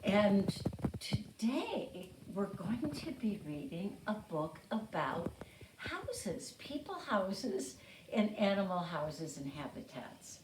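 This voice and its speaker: A woman teacher reading a picture book aloud to young children, pausing to explain and repeat words simply. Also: medium pitch, very animated, a little hiss or hum, low-quality recording.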